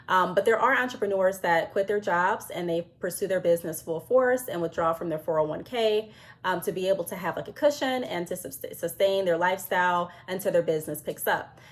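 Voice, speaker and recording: A woman in her thirties, congested from a cold, giving casual advice to camera with frequent ums while glancing at her notes. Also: fairly low pitch. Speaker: business vlogger.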